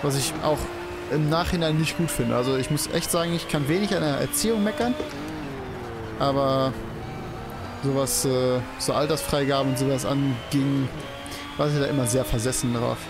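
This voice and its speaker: A man's voice: mid-range voice, moderate pace. Chatty young man over game music. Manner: rambling, chatty, swears and exclaims at the game. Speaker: let's play host. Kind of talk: casual gameplay commentary. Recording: game music playing behind the voice.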